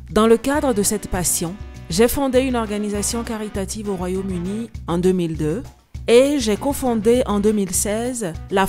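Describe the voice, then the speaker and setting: A woman gives a voice-over translation of an interview, reading at a steady, even pace. Faint music plays underneath. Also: medium pitch.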